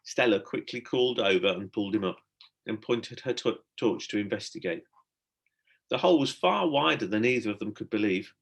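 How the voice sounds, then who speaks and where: A man reading a children's adventure story aloud as a teacher would, in expressive storybook narration. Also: fairly low pitch, brisk, vocal fry, slightly rough.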